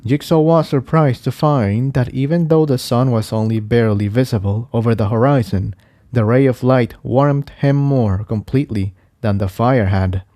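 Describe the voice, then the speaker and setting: A man narrates a scripted adventure tale. His tone is mellow and rounded, with a middling pitch. The recording is dry, with almost no room around the voice. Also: normal speed, no room sound. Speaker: fan fiction narrator. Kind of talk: scripted audiobook-style story narration.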